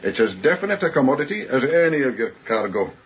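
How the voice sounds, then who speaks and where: An actor playing a gloomy, superstitious ship's officer in a radio drama, speaking with solemn, deliberate earnestness. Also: male voice, mid-range voice, very animated, lo-fi sound, a little hiss or hum, lightly acted. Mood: grave, insistent.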